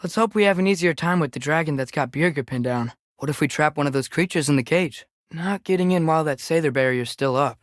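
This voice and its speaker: A man's voice: a little high, brisk, few pauses, no room sound, lightly acted. Young guy voicing teen boy game companion, american, light youthful voice, curious and earnest, kinda casual, dry studio sound. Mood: thoughtful, wondering. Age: teenager. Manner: casual, conversational, observant. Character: earnest, curious, alert adolescent boy adventurer. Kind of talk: video game companion dialogue.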